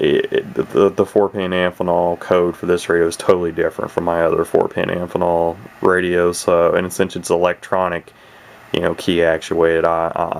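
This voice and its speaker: Male hobbyist presenter, low and creaky, informal and halting, rambling unscripted delivery. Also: slight background noise. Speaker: radio hobbyist presenting a set. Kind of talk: casual unscripted demonstration talk.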